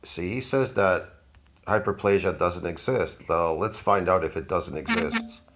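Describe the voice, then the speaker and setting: A man's voice: animated, measured pace, a bit bright, a little hiss or hum. A man in his fifties giving mocking, sarcastic commentary on a fitness clip. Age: 50s. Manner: mocking, sarcastic, jumps in pitch. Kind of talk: reaction commentary.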